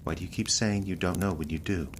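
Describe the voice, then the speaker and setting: An actress playing a controlled, persistent interrogator in an audio drama, measured and probing. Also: female voice, very deep voice, fairly even intonation. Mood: insistent, pressing. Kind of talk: naturalistic audio drama acting. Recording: clear background noise.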